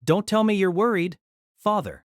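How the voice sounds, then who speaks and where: A man's voice: high voice, animated, moderate pace, no echo, clean studio sound. A man voicing a bitter, defiant younger man in an audiobook drama, confrontational and intense with his villainous father. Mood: scornful.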